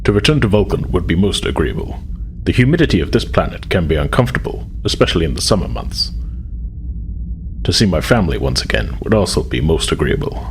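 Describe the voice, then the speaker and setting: A man's voice: low voice, big pitch swings, fairly quick, slight background noise. A man voicing a stoic Vulcan officer from a tabletop game, speaking in a formal, understated, detached way.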